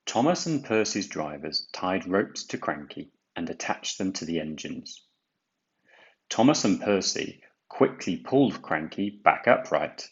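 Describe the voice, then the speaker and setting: A man reading a children's storybook aloud, with deliberate, dramatic emphasis. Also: sits low, sing-song, dull audio. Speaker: storybook reader. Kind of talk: expressive children's read-aloud narration.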